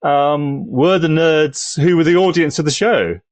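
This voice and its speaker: A man on a podcast panel chatting casually in a halting, amused way. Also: on the high side, dry recording. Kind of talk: casual discussion.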